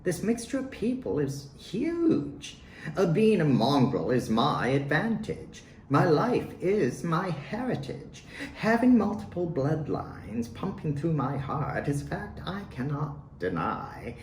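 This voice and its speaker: A man reading his own poems aloud in deliberate, short declarative phrases with pauses between them. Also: on the high side, big pitch swings. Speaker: poet reading own work. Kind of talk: spoken poetry reading. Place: at home in a residence. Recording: roomy home recording with faint noise.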